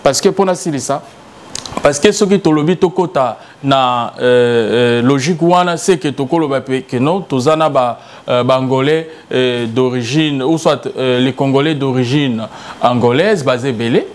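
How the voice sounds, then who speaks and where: Man, debate guest, halting speech, searching for words, mid pitch. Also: slight background noise. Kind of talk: political debate in French and Lingala.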